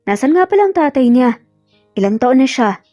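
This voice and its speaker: A woman's voice: high voice, sing-song, moderate pace, dark tone, no room sound, studio quality, faint music behind. A Filipino woman voicing a smitten, brooding young businessman in a romance story, feigning composure and mixing in English. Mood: feigned cool, probing. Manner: code-switches between Filipino and English.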